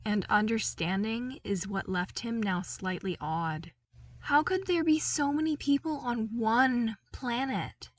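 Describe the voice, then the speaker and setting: Expressive woman narrating a story, dry sound. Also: fairly high pitch, slow, no room sound. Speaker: audiobook narrator.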